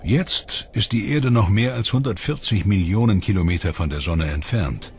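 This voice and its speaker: A man reading steady, explanatory narration for a science documentary. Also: on the low side, normal speed, a little hiss or hum. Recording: music bed under voice.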